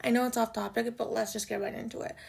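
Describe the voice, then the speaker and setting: A young woman from Canada hosts her own podcast, chatting loosely and swearing as she goes. Her voice is low and raspy, with heavy vocal fry and a slightly raised pitch for a woman. She fills her talk with "like" and "y'all". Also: little pitch movement, fast talker, a little mellow. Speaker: podcast host. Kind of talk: casual solo podcast chat. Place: home room in Toronto.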